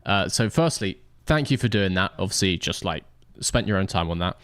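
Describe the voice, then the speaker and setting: A man's voice: low-pitched, lively intonation, moderate pace, fairly bright tone, clear, resonant tone, no echo. A man hosting a livestream and casually interviewing racers after an event. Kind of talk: casual post-race interview.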